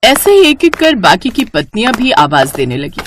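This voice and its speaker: Woman, scripted story narration, very varied intonation, expressive, slight noise behind. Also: mid-range voice, moderate pace.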